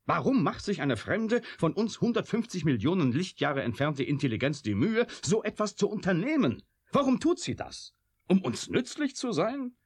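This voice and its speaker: A man's voice: high-pitched, very animated, moderate pace, breathy edge, heavily acted. An actor in a German radio drama playing an agitated, bitter male scientist who argues in probing questions. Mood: urgent, questioning. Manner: impassioned, probing rhetorical questions.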